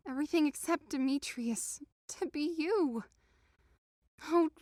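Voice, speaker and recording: A woman's voice: extremely high pitch, a bit bright, no room sound. A woman playing a lovesick, envious young woman in an audio drama, with a dramatic, self-pitying manner. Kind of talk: modernized Shakespeare audio drama acting.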